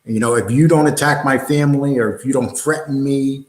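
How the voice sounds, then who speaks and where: A man's voice: medium pitch, fairly quick. A man hosting a casual livestream, talking in a blunt, combative, streetwise way. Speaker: show host. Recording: roomy, echoey sound.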